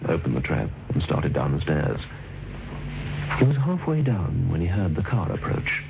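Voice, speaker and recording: An actor as a grave, suspenseful narrator of an old radio drama. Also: male voice, low-pitched, big pitch swings, normal speed, gravelly, full character voice. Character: grave, suspenseful male storyteller. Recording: dull old radio recording, music behind.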